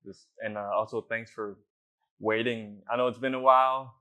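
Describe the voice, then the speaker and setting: A man's voice: mid-range voice, steady tone, moderate pace, pushed and bright. A young man, an actor, chatting in a laid-back way with chuckles and loose fillers. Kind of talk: casual interview chat.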